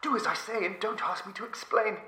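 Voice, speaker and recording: A man voicing a terrified, doomed explorer pleading from a crypt, shaky and hoarse with fear, in an audiobook horror tale. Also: high-pitched, fairly quick, pushed and bright, roomy sound, pitched above the performer's own voice. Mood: frantic pleading. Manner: urgent, pleading, breaking into shrieks.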